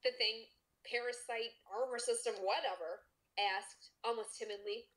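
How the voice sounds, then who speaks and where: A woman's voice: on the high side, fairly even intonation, a little slow, fairly bright tone. A woman narrating a story expressively as a podcast host.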